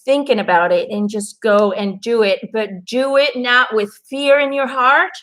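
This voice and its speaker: A woman's voice: a little high, measured pace, a bit bright. A Belgian woman whose first language is Dutch, doing a casual, earnest card reading for viewers and encouraging them with plenty of fillers and restarts. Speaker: intuitive card reader.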